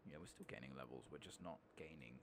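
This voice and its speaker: A soft-spoken man giving casual, unhurried ASMR commentary on a video game, sometimes dropping into a whisper. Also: a bass voice, noisy background. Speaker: gaming channel host.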